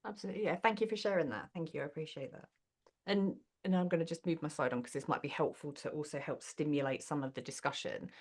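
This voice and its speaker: A woman's voice: deep voice, fast talker. A woman leading an online workshop, affirming participants and asking them questions. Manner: warm affirming, asks questions of the group. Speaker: inclusion coach leading a session.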